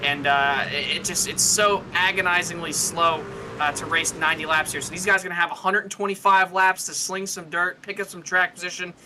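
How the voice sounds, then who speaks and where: A man's voice: high voice, fairly even intonation, brisk, hardly any pauses, noisy background. A man giving live co-commentary and analysis on a sim race in a casual, conversational way.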